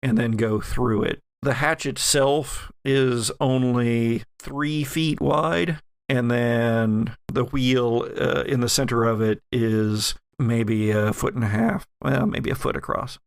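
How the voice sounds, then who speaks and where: A man runs a tabletop horror game as its narrator. His voice is mid-pitched and creaky, and he feels his way haltingly through descriptions in a dry recording. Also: fairly even intonation, no room sound. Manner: halting, descriptive, self-correcting. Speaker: game master.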